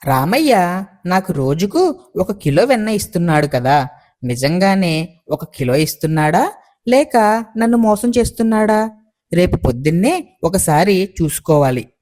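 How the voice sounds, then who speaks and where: An actor playing a suspicious, indignant village baker in a moral story, quick to accuse others. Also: male voice, sits high, very animated, darkish tone. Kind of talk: acted dialogue in a moral story.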